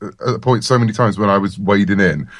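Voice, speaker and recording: Low-voiced middle-aged British man chatting. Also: quick pace, dry recording, studio quality. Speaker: interview guest, comic and novel writer. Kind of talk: casual podcast conversation.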